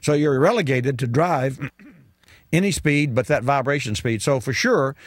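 A middle-aged man hosts a call-in car show from a studio, speaking into a boom mic with headphones on. His delivery is loose and conversational, full of restarts and asides. The sound is dry and close, with no room around it. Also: measured pace, dry recording. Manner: chatty, rambling, many fillers and restarts. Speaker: radio car show host. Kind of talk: call-in radio advice. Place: radio studio with colorful sign backdrop. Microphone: studio mic on boom arm, wearing headphones.

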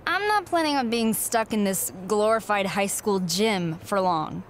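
A woman acts a young female wrestling trainee in a game cutscene. She is confident and blunt, with an understated, dry way of talking. The pitch is average, with ambient noise behind her. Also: lively intonation, measured pace, close to an everyday voice. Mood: cool, confident. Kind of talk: naturalistic video game cutscene acting.

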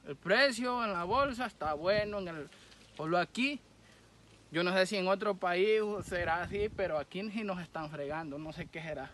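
Bright, high-pitched Honduran man. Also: a little hiss or hum. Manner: complaining, colloquial with frequent swearing. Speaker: coffee farmer and vlogger. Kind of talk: informal monologue to camera, addressing fellow farmers. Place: outdoors on a coffee farm.

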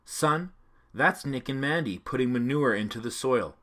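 Gentle storybook mother worm, read by a man, calm and bright. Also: medium pitch, moderate pace, natural voice. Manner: calm explaining.

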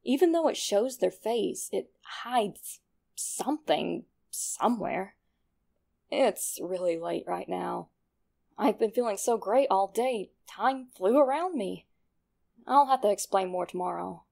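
A woman plays a cold, self-satisfied young killer in a horror audio story. The delivery is sinister and slow, with plenty of creak in the voice, as each journal entry is savored. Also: mid-range voice, expressive, warm tone, heavily acted. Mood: smug, uneasy. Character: smug, cold young male killer writing his journal.

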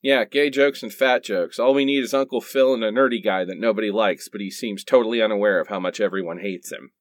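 A man's voice: fairly low pitch, fast, dry recording, studio quality, close to an everyday voice. A man playing a sarcastic, bewildered sitcom character in a comic radio play, with a wry, quipping manner. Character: sardonic married sitcom man, bewildered and quick to quip.

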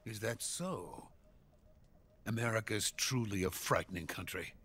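Grave, low-voiced Soviet scientist. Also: male voice, a little hiss or hum. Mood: wary, sombre. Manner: grave, formal, measured explanation. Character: anxious middle-aged male Soviet rocket scientist, grave and bitter. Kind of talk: dramatic video game voice acting. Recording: loud music behind the voice.

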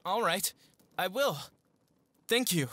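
Man playing an earnest, hesitant boy, expressive. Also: high-pitched, slow pace, no echo, heavily acted. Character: earnest, unsure boy dreaming of being a mercenary. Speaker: voice actor. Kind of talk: fantasy audio drama acting.

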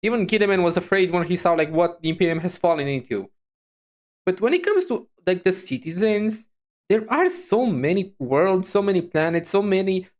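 Vtuber woman reacting, lively up and down voice, thinks out loud and repeats herself. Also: medium pitch. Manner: hesitant, repetitive, animated musing. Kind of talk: casual reaction commentary.

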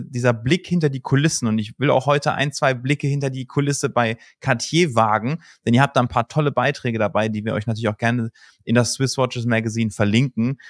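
A man in his thirties hosting an interview, talking quickly and enthusiastically to his guest and to the viewers. He speaks into a studio microphone on a video call. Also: medium pitch, no room sound. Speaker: host.

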